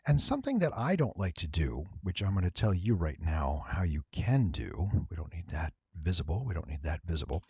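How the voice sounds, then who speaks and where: A man with a low, creaky voice walks through software steps in a casual tutorial. He takes his time, with lively swings in pitch and a faintly nasal tone. The recording is dry and close. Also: a little fast, few pauses, no echo, highs cut off. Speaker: voice actor giving a software tutorial.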